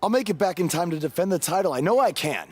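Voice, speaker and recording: A man's voice: sits high, animated, fast, husky. A man playing a determined, wry, battered but defiant pro wrestler in a video game cutscene, with some noise behind him.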